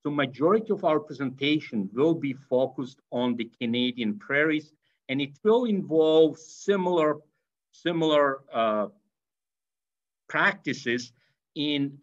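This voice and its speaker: A middle-aged lecturer with an Eastern European accent, speaking at an everyday mid pitch. He talks in halting bursts, full of fillers and restarts, as he explains things to a remote class. The sound is a little dull, like webcam audio on a video call. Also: fairly bright tone. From Yugoslavia. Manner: hesitant, many fillers and restarts. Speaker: veterinary professor. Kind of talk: online lecture.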